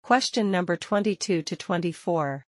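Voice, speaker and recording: A woman's voice: on the low side, animated, normal speed, dry recording, studio quality. A woman announcing question numbers in a formal, plain way for a listening test.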